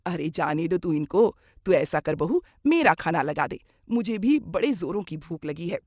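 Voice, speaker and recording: A woman's voice: on the low side, big pitch swings, normal speed, darkish tone, no echo, clean studio sound. A woman playing a middle-aged mother-in-law, kindly but grumbling and dramatic, in a moral cartoon story.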